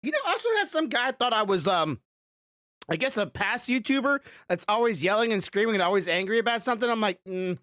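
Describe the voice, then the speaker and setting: A man hosting a podcast in casual, rambling chat, with a loud voice that rises when he gets passionate about something. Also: sits high, lively intonation, quick pace, dry recording, clean studio sound. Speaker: podcast host.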